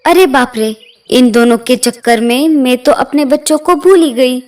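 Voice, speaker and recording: A clever little cartoon mother bird acted by a woman. Her voice is very high, plaintive and pleading, with a crafty, daring streak. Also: moderate pace, a little mellow, lightly acted. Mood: distressed. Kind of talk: Hindi cartoon dialogue.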